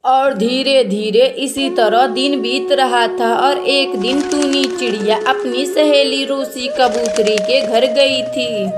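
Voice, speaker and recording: A woman narrating a children's cartoon story in a scripted storytelling style. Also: fairly high pitch.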